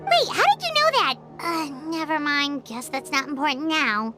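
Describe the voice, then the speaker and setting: A woman voicing a tiny, childlike fairy companion in a video game, squeaky and cheeky, with a blunt, suspicious, excitable manner. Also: normal speed. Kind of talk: English video game voice acting. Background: background music.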